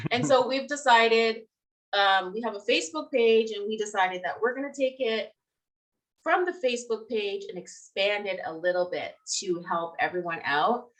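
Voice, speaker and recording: A woman's voice: mid-range voice, moderate pace. A young woman co-hosting a casual game fan livestream, chatty and giggly as she interviews her co-host.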